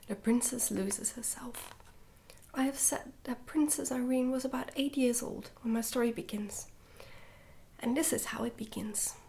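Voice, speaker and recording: A woman reading a children's storybook in a hushed, breathy whisper, gently and carefully, in ASMR style. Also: on the high side, moderate pace, a little hiss or hum. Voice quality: whispery, breathy, soft-spoken. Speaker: ASMR book reader.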